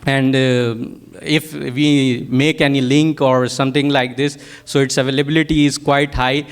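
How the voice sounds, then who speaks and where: Halting Pakistani man giving a technical presentation. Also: medium pitch, level tone, clean tone. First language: Urdu. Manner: halting, hesitant, searching for words in non-native English. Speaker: technical product manager presenting a workshop.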